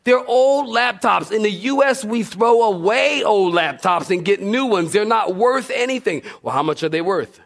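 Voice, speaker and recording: A man, a pastor preaching through animated, humorous, self-deprecating storytelling, acting out dialogue as he goes. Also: sits high, normal speed, gravelly, few pauses. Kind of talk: sermon storytelling with re-enacted dialogue. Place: church, recorded live.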